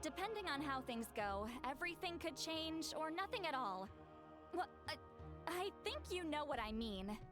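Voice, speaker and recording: An actress voicing a proud, fussy old shaman woman in a game, in a measured, slightly dramatic way, with game music behind. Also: sits high, very animated. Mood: solemn.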